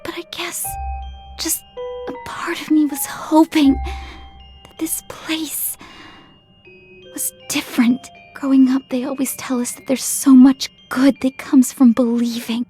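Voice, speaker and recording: Timid young woman, high soft voice. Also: steady tone, unhurried, soft background music. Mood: frightened, wistful. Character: timid, earnest young woman named Holly. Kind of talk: visual novel voice acting.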